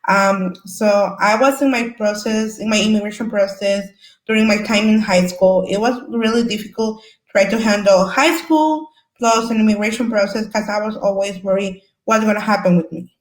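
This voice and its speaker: Young woman panelist with a Salvadoran accent, a native Spanish speaker who searches for words as she tells her story; roomy echo. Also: medium pitch. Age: young adult. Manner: halting, searching for words in English. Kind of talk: personal story in a panel discussion.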